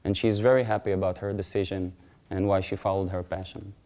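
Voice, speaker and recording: A man giving a conference talk, telling a personal story earnestly and rising to loud, emphatic peaks. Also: deep voice, level tone, fairly quick. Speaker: conference speaker. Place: conference stage.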